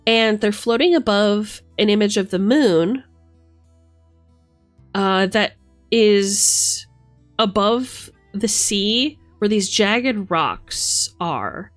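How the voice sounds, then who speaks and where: A woman's voice: medium pitch, very slow, faint music behind. A woman livestreamer chatting casually and affectionately, thinking aloud in a playful way as she reads tarot cards.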